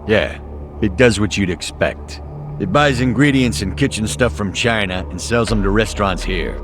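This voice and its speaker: An actor playing a cornered, low-ranking young gang member, blunt, sarcastic and foul-mouthed, in an English video game dub. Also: male voice, normal speed, noisy background, lightly acted. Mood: wary. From Japan.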